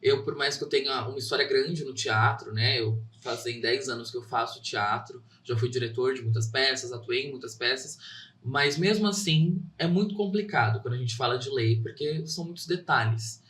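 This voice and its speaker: A young man talks about his theater work as a guest, earnest and heartfelt. His mid-pitched voice sounds roomy and a little distant from the mic. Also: normal speed, fairly bright tone, slight background noise. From São Caetano, Brazil. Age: young adult. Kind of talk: interview answers.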